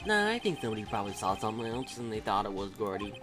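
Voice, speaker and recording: Female reader as slangy young male vendor, video game: goofy, boastful, excitable drawl, low for a woman. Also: very fast, music in the background. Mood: boastful, easygoing. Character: young man, goofy boastful hot dog seller.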